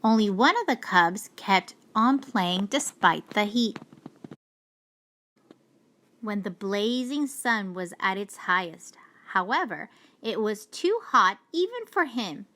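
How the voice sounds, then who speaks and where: A woman reading a children's storybook aloud with deliberate, expressive storytelling. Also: medium pitch. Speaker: storybook reader. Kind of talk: children's read-aloud narration.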